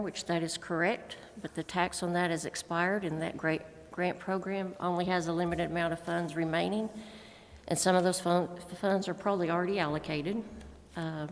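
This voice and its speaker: Lower-voiced American woman in a reverberant room. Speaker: city council member. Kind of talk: prepared remarks at a public meeting. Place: council chamber.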